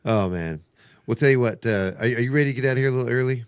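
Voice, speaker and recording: A man's voice: mid-range voice, very fast, smooth, dry recording, clean studio sound. A man hosting a casual radio chat, rambling through stories in a chatty, conversational way. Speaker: radio show host. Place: radio studio.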